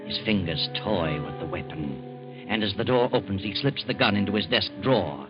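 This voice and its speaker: A man giving measured, ominous scripted narration for an old radio drama. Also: sits low, lively intonation. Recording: dull old radio recording with music.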